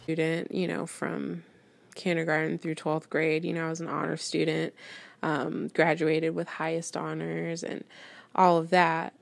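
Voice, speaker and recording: A woman with a low voice and a noticeable vocal fry shares personal memories in an interview. She speaks haltingly, pausing often, and the sound is dry and close. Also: completely flat delivery, no room sound. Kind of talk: reflective personal anecdote in interview.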